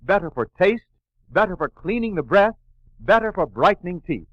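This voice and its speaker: Male 1940s radio announcer, animated, fairly high voice, emphatic sales read, slightly muffled old recording. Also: moderate pace, no echo. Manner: emphatic, polished sales delivery.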